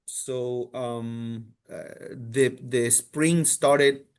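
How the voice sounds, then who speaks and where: A man's voice: mid-range voice, steady tone, very slow, darkish tone, clear, resonant tone. A man from Colombia, a university representative, answering questions on a video call, with many restarts and fillers. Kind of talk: casual answers in a virtual info session.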